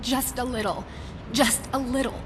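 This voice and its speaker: A needy woman addict in a video game, voiced by an actress. The highish voice wheedles desperately through noisy, rumbling ambience. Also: steady tone, normal speed, lightly acted. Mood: needy pleading. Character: desperate, pleading female drug addict.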